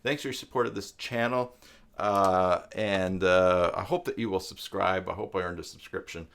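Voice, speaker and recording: A man hosting an informal live stream tutorial, explaining things step by step in a halting way as he thinks aloud. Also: sits low, creaky, fairly bright tone, smooth. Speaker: live stream host and web tutorial presenter. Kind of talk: informal unscripted tutorial talk to viewers.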